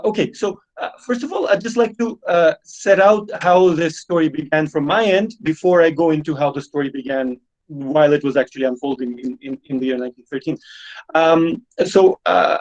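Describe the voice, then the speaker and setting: A man's voice: a little high, a little mellow, rough recording. A man who grew up speaking Russian gives an online academic lecture, hesitant, with many fillers and repeated words. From Belarus. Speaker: guest lecturer.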